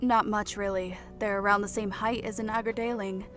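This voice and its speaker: A bright, high-pitched young woman full of teasing mischief, as a male audiobook narrator puts her on. She speaks in a lifted, lighter register with a lively, playful lilt. Faint music hums beneath her lines. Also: a little fast.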